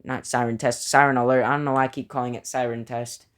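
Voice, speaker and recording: A man's voice: medium pitch, level tone, fast talker, a bit bright, smooth. A man giving casual, opinionated commentary as a hobbyist ranking things, in an informal and slightly self-deprecating way. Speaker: hobbyist video creator.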